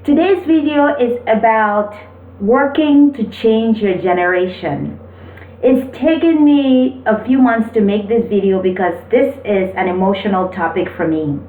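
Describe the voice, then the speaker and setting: A woman speaks in a slow, deliberate and encouraging way, mixing motivation with personal stories. Her pitch sits in the middle for a woman. The recording is thin and phone-like, with the mic at a distance. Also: expressive, reverberant. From Cameroon. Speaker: motivational video host. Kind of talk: motivational talk with personal storytelling.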